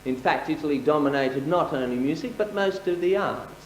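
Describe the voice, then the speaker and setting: A man with a high voice delivers a formal stage prologue, lecturing like a learned host and pausing on the odd filler. The sound is roomy and carries a faint hiss from a live theatre recording. His tone stays measured and explanatory. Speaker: on-stage narrator.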